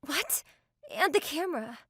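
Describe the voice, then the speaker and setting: Woman as a high, sweet young girlfriend. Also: big pitch swings, unhurried, a bit bright, dry recording, clean studio sound, full character voice. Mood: shy, affectionate. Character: sweet, devoted young woman, retired YouTuber in love. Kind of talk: manga dub dialogue.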